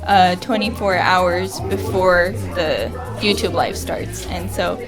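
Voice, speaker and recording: A woman's voice: fairly low pitch, slow pace. A woman chatting casually as a radio guest in a friendly, hesitant way, switching between two languages. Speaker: radio guest, online English-lesson presenter. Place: busy market pop-up event. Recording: music behind at live event. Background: music.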